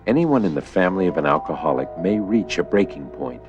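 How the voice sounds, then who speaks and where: A man giving scripted, grave and measured narration for an educational film, explaining in a documentary style. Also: sits low, expressive, highs cut off. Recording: old VHS educational film soundtrack with music.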